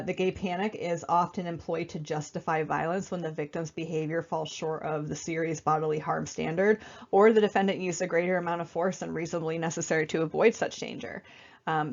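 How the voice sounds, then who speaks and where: Slightly raspy young woman presenting slides. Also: low-pitched, fairly even intonation, fairly quick, dull audio. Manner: casual, conversational, frequent fillers. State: raspy, recovering from illness. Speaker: student presenter.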